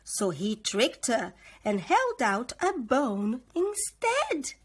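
Slow, animated woman reading a children's story. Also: high-pitched, slight background noise. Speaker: teacher reading a storybook aloud. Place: living room, on a sofa.

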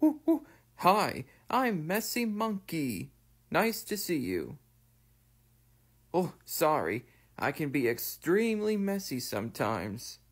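A man plays a messy, eager cartoon monkey, playful and a little touchy. The voice is rough-edged and very animated, with exaggerated delivery. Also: a little high, slow pace, full character voice. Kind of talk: scripted character voice lines.

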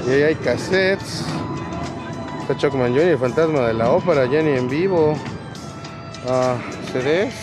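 Chatty man browsing an outdoor market. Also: on the high side. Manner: chatty, rambling, lists items aloud. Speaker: vlogger. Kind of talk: casual walk-and-talk vlog. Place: outdoor swap meet, parking lot. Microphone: action camera on body or handheld.

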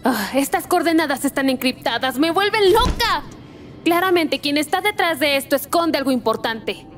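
An angsty cartoon robot teen voiced by a woman, high-pitched and animated. She sounds insistent and exasperated, with a Latin American Spanish accent. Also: moderate pace, faint music behind. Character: angsty, stubborn teenage robot girl. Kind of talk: animated fan dub acting.

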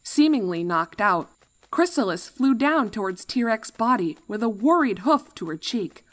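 A woman reading a scripted story as narrator, with a dramatic and expressive delivery. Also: on the high side, measured pace.

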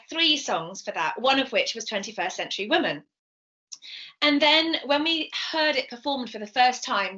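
A middle-aged British woman speaks with a fairly high, bright voice. She tells her story as an interview guest, animated and emphatic. The video call sound is a little dull. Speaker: composer and singer being interviewed. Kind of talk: video interview, anecdotal explanation. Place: indoors, home room.

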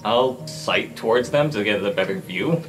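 A man's voice: on the low side, animated, normal speed, low-quality recording. A man playing a tabletop game, improvising roleplay in casual talk. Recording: music playing behind the voice.

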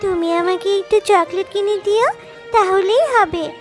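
A tiny, extremely high little-girl fox voice put on by a woman for a children's cartoon. The child is sweet and eager, coaxing and begging like a small daughter. Music runs underneath. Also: normal speed. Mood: wheedling. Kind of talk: Bengali children's cartoon dubbing.

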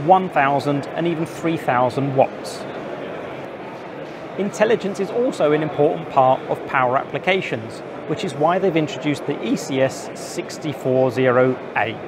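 A man in his forties presenting to camera with a lapel mic in a busy indoor trade show hall, giving clear, informative reports. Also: fairly high pitch. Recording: noisy trade show floor.

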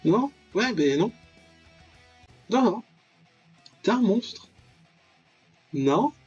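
Husky young Frenchman doing game commentary. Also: high voice, big pitch swings, extremely slow, a little mellow, muffled recording, faint music behind. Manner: exclamatory, mock-dramatic reactions with slangy asides. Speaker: gaming video host. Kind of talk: casual let's play commentary on a visual novel.

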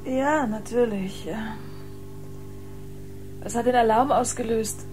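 An actress playing an inquisitive, headstrong dancer and musician in natural drama dialogue. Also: female voice, a little high, slow pace, darkish tone, soft background music. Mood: curious.

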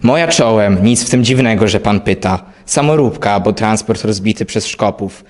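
A man's voice: fairly low pitch, lively intonation, moderate pace, a little mellow, close to an everyday voice. A man acting a dutiful, clipped army lieutenant. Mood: matter-of-fact. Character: dutiful, brave male army lieutenant under fire. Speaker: amateur actor in a school audio drama. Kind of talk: scripted war radio drama dialogue.

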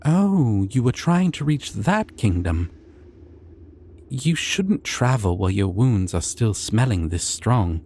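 A man playing a shy, dorky, kind dragon in an ASMR fantasy roleplay, speaking softly and hesitantly with tender, rambling care. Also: medium pitch, very animated, slow, husky, exaggerated voice. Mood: gentle, reassuring. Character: shy, gentle, dorky adult male dragon caring for a stranger.

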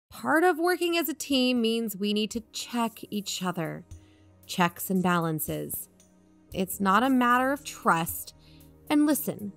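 A woman's voice: fairly high pitch, vocal fry, full character voice. A woman playing an assured podcast investigator in a conversational audio drama, reasoning and probing persuasively. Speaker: fictional podcast co-host.

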